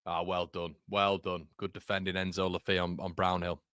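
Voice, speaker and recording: A man's voice: sits low, little pitch movement, normal speed, a bit bright, smooth, no room sound, clean studio sound. A man with a Yorkshire accent, hosting a live football watchalong, calling the play and chatting with viewers in the live chat between moves. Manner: chatty, reads live chat, laughs at own jokes. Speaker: watchalong host and commentator. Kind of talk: live match watchalong commentary.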